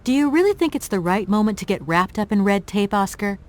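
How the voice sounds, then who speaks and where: Lively, wry young adventuress played by a woman. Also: medium pitch, fairly quick, close to an everyday voice. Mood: wry, exasperated. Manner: natural, expressive, casually wry. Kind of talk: video game character acting.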